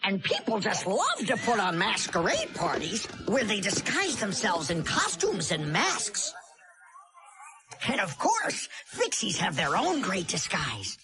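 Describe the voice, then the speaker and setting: A woman playing a lively cartoon explainer, narrating scripted facts for children in an instructive, expressive way. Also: medium pitch, a little slow, background noise. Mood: upbeat explaining.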